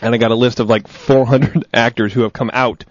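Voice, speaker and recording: A man's voice: medium pitch, steady tone, brisk, dry recording. A man co-hosting a casual comedic talk show, making offhand wisecracks. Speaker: co-host. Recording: dull lo-fi 2000s recording, dry.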